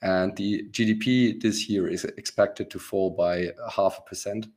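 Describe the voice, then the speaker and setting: Man, rough hoarse voice, very deep pitch, halting webinar talk. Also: fairly even intonation, darkish tone. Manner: halting, many ums and restarts. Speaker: webinar host, company founder. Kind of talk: business webinar presentation.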